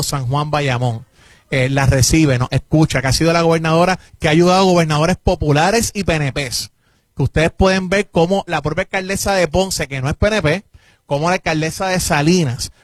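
A man's voice: a little high, no echo. A man from Puerto Rico with a Puerto Rican accent, a government official answering interview questions emphatically and insistently. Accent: Puerto Rican Spanish. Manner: emphatic, insistent, repeats points. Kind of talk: interview answers, political defense.

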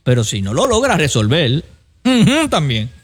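A man's voice: a little high, wildly swooping pitch, moderate pace, breathy edge. A man with a Puerto Rican accent co-hosting a talk show in a casual, conversational way, choosing his phrases tentatively. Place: studio.

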